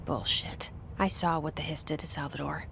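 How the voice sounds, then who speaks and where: A woman's voice: low-pitched, steady tone, normal speed, gravelly, pushed and bright, slight background noise, exaggerated voice. An actress with an American accent playing a determined young woman trying to save her brother in a game cutscene, blunt, wry and restrained. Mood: skeptical, resolved. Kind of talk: video game cutscene acting, naturalistic.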